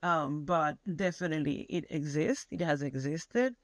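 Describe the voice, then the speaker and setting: A woman's voice: sits low, lively intonation, normal speed, no room sound. A woman from Venezuela, answering interview questions emphatically, often restarting her phrases. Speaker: guest scholar.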